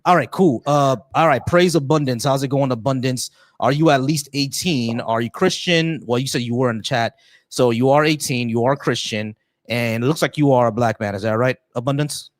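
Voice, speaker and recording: A man's voice: mid-range voice, moderate pace, no echo, clean studio sound. A man hosting a call-in debate who keeps interrupting and pressing the same question again and again. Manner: insistent, repeats questions and interrupts. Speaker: debate show host.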